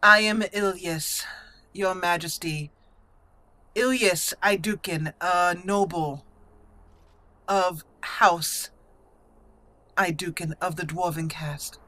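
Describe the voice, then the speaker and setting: A woman voicing an earnest, formal young noblewoman mage in a game roleplay, slow and careful in her words. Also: lowish voice, pushed and bright, poor audio. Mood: respectful.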